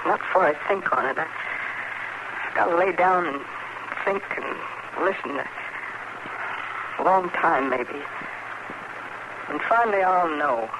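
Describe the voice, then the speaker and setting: An actress playing a grieving, stoic frontier farm widow with a rural American accent, brooding and plain-spoken in an old radio western. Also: female voice, fairly low pitch, lively intonation, takes it slowly, long pauses. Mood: brooding certainty. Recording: noisy old radio drama recording.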